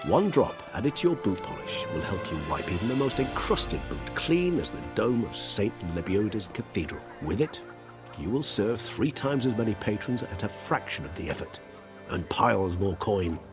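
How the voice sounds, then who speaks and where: A genteel, learned older gentleman in a video game. His voice is fairly low and lilts expressively. He speaks formally and persuasively, with clear music behind him. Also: sing-song, normal speed. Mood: suave, persuasive.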